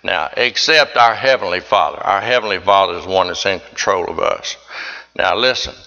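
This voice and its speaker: A man's voice: sits low, normal speed, creaky, sharp tone. A man preaching a sermon, reading scripture aloud and emphatically repeating his points. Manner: repetitive, emphatic. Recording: dull lo-fi recording.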